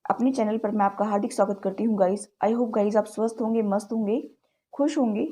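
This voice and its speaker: Woman tarot reader, mellow voice, slightly sore throat, chatty, calls viewers guys. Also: mid-range voice, fairly even intonation, brisk. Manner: mixes English words into Hindi. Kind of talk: direct-to-camera tarot reading.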